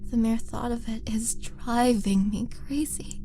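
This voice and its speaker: Woman acting a wistful, seductive monster warrior woman, unhurried. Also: fairly high pitch, fairly even intonation, over music. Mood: yearning, seductive. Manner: wistful, theatrical storytelling with sighs and pauses. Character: vengeful, seductive female monster warrior trapped in a mirror. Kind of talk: dramatic roleplay monologue.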